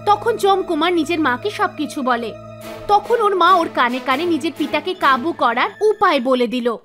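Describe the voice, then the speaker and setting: Very high-pitched woman storyteller over music. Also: expressive, moderate pace, fairly bright tone. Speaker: storyteller narrator. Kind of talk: scripted storytelling narration for an animated tale. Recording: music under the voice. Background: background music.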